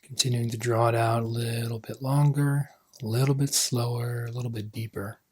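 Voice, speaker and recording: A man leading a guided meditation as a coach, speaking gently in unhurried phrases that trail off softly. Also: mid-range voice, fairly even intonation, husky.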